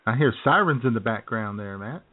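A man's voice: mid-range voice, expressive, brisk. Laid-back man on a tinny line. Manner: laid-back, laughing storyteller. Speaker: podcast co-host. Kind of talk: casual chat. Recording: tinny phone-like lo-fi audio.

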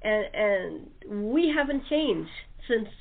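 Halting woman host on muffled narrow-band audio. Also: mid-range voice, sing-song, vocal fry. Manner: halting, rambling, often restarting sentences. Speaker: radio show host. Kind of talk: casual chat interview.

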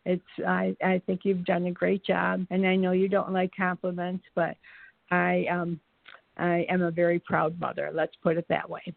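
An older woman telling stories casually as a podcast guest, speaking haltingly and searching for her words. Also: fairly low pitch, monotone, creaky, no room sound. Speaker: podcast guest, mother of the host. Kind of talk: casual anecdotal storytelling. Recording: thin phone-like line.

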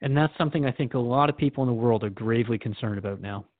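A man's voice: medium pitch, racing, dry recording, narrow, tinny audio. A man hosting a scripted radio drama interview, asking frank, questioning things of his guest.

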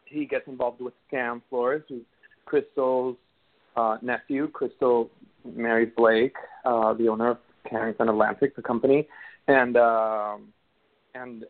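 Venezuelan man on a phone line. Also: medium pitch. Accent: Venezuelan Spanish. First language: Spanish. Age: 30s. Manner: hesitant, many fillers and restarts. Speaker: actor guest. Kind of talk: casual interview answers.

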